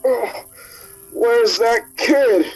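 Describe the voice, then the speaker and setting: An actor playing a brutish, dim bully in a radio drama, blustering and groaning. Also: male voice, very high-pitched, very slow, faint music behind, exaggerated voice, poor audio. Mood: dazed, groaning.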